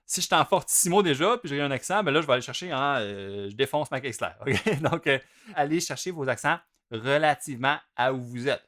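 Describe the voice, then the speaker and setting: A young man with a Québécois French accent teaches drumming in an easygoing, conversational way, his voice sitting at an ordinary middle pitch. He chants rhythm names aloud, pushing the accented notes hard and dropping the others down soft. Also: fairly quick. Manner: chatty and relaxed, chants note rhythms aloud with strong loud-soft contrasts. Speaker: drum teacher. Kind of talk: casual instructional explanation.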